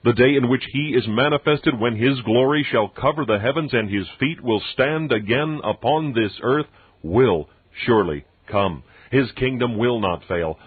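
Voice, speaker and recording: A man reads religious text aloud as an audiobook narrator, in a solemn, measured and emphatic preacherly manner. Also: lowish voice, breathy edge, dry recording. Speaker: audiobook reader. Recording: dull muffled radio-like sound, very dry.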